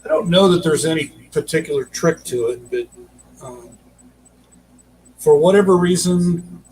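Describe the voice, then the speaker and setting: A man's voice: on the high side, unhurried, a little mellow, rough recording. An older man chatting casually as a podcast guest on a video call, modest and hesitant, sounding away from the mic with faint noise. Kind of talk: casual chat.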